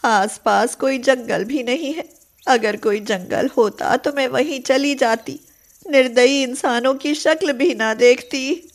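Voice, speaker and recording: A woman voicing a long-suffering, devout mother cow in an animated moral story, with plaintive, emotional delivery. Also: sits high, slow, voice pushed up. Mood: bitter, weary.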